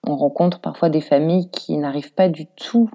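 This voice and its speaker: A woman's voice: low-pitched, steady tone, moderate pace, warm tone. A woman in her thirties from France with a French accent, talking informally and reflectively in an interview, thinking aloud as she goes. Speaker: interview guest, expatriate mother; preschool worker. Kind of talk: casual conversational interview.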